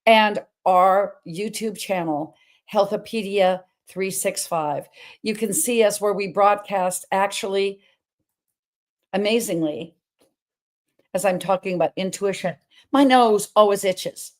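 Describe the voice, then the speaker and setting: Energetic woman host with a big voice. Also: medium pitch, takes it slowly. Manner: energetic, emphatic, wandering between topics. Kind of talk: personal talk-show monologue.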